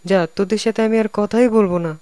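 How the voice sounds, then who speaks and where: A man's voice: sits high, fairly even intonation, quick pace, close to an everyday voice. A man voicing a whiny, lovesick young man in a cartoon, plaintive and sulky. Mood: sulky, embarrassed. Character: whiny lovesick young man, butt of his friends' jokes. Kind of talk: cartoon voice acting.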